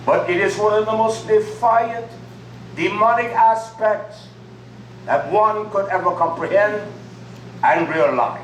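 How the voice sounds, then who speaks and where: A man's voice: sits high, slow pace. A man preaching a sermon in short, emphatic phrases. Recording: echoey room from far off with faint noise.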